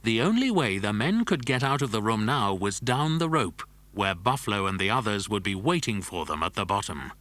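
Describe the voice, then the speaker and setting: A man's voice: lowish voice, lively intonation, moderate pace, few pauses, a bit bright, dry recording. A man narrating a scripted children's adventure story.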